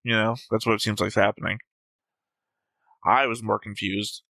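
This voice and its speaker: A man with a bright, forceful, slightly low voice picks apart an episode in casual chat. He reasons things out with jokes and little acted-out quotes, recorded close and dry. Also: flat delivery, normal speed, creaky, dry recording, rough recording. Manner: analytical, jokey, acts out quotes. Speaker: reaction show co-host. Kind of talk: casual discussion reading notes.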